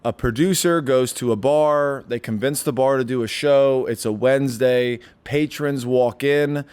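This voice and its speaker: Brief: young male comic, smooth clear voice, casual rambling podcast guest. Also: medium pitch, measured pace, darkish tone. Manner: rambling, lots of likes and restarts. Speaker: stand-up comedian, guest.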